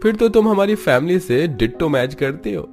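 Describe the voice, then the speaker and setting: A man's voice: on the high side, big pitch swings, moderate pace, a little mellow, faint music behind. A man voicing a friendly, admiring young newlywed husband in a cartoon family drama.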